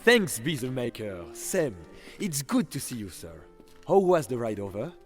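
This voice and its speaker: Man voicing a lively, courteous French concierge. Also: medium pitch, unhurried, soft background music, voice pushed up. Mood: courteous, welcoming. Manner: polite, formal, effusive. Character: courteous, eager French male hotel concierge. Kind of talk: audiobook character dialogue.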